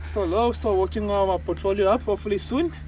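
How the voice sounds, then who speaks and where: High-voiced, laid-back man from Congo. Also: quick pace, a little mellow. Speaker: interviewee, aspiring model. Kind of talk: casual chat. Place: outdoors. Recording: faint noise, outdoor camera audio.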